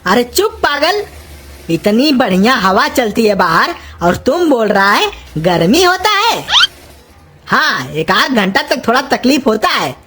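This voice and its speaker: Woman voicing a high-pitched bossy, scolding old uncle. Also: fairly bright tone, slightly rough, exaggerated voice. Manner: scolding, threatening, colloquial. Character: gruff, bossy, quick-tempered old uncle. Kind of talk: Hindi cartoon comedy voice acting.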